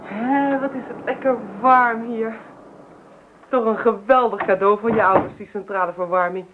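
Actress as affectionate young wife, thin phone-like sound. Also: female voice, fairly high pitch, fairly even intonation, normal speed, noisy background, natural voice. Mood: affectionate, bright. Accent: Netherlands Dutch. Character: young pregnant wife, affectionate, practical, gently insistent. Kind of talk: radio play acting. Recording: roomy, tinny phone-like radio recording.

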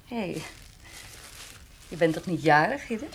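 An actress playing a practical, self-assured and reserved woman in a naturalistic radio drama, with a husky voice. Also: lowish voice, expressive, unhurried, slight background noise, rough recording. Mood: polite, reserved.

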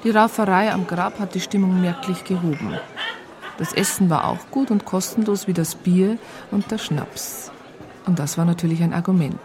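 An actress playing a wry storyteller, narrating a radio drama with dry humour. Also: female voice, on the low side, normal speed, a crowd in the background, close to an everyday voice. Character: wry woman storyteller recounting village events. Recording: music behind the voice.

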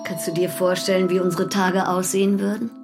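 An actress playing a seductive, dreamy mistress in a radio drama, lingering insinuatingly on her words with a creaky voice. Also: on the low side, level tone, a little fast. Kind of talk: German radio drama acting. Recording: loud music over the voice.

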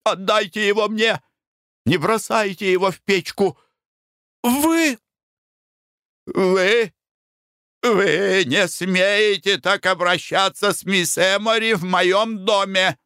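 A man's voice: sits high, takes it slowly, pauses often, sharp tone, smooth, no room sound, clean studio sound, pitched above the performer's own voice, exaggerated voice. A man doing a blustering, furious old baronet. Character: angry older baronet.

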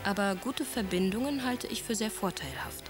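An actress as a prim young gentlewoman in a period radio drama. She speaks in a medium register, formal and exact, earnestly virtuous. Music is clearly audible behind her. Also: mid-range voice, very animated, normal speed, lightly acted. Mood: prim, decided. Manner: formal, precise, moralizing.

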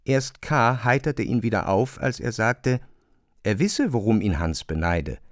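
A man narrating a literary radio play in a measured, expressive storytelling manner. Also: lowish voice, dry recording, clean studio sound.

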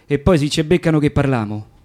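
An actor playing a wary, brusque working-class prisoner with a Roman accent in a radio drama. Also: male voice, on the high side, expressive, brisk, darkish tone, close to an everyday voice. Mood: irritated warning. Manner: curt, guarded. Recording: music behind the dialogue.

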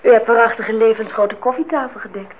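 An actress playing a lively young woman in a radio play, direct and chatty yet polite. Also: fairly high pitch, fast, lightly acted. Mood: curious, frank. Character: lively, frank young woman visiting an older acquaintance. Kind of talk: Dutch radio play acting. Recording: noisy, tinny old radio recording.

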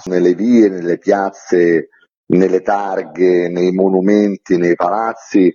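A man with a fairly low, husky voice answers as an interviewed author. He explains methodically, laying things out point by point. The recording is dull and lo-fi. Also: warm tone. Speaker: author interviewed about his book.

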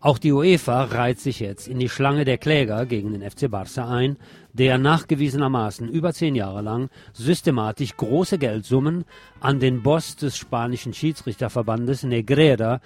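A man's voice: medium pitch, moderate pace, darkish tone. A man presenting scripted local news with opinionated commentary, in the role of a radio show host. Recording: music playing behind the voice.

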